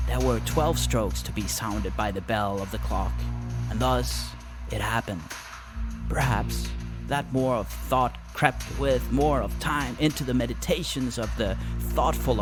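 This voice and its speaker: A man narrates a gothic horror story in a live one-take reading, with loud music playing under his voice. His delivery is solemn and deliberate, breaking the sentences into theatrical phrases that follow the music. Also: medium pitch. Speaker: reader of a classic horror tale.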